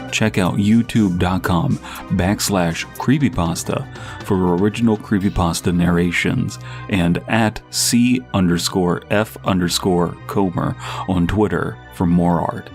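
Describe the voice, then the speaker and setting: A man's voice: low-pitched, darkish tone. A man reading scripted podcast credits and a mock advertisement as an announcer.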